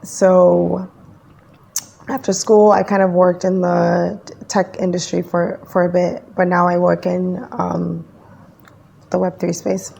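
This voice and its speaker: Gravelly-voiced woman from Ghana. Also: fairly low pitch, slow pace. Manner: relaxed, thoughtful, hedging. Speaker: guest, NFT project founder. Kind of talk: casual interview answers.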